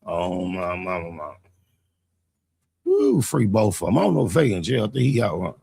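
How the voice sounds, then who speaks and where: A man with a low, gravelly voice reacts casually as a host. His delivery is slangy and unfiltered, with a husky rasp running through it. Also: huge pitch swings, normal speed, warm tone, no room sound. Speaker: host reacting to a narrated video.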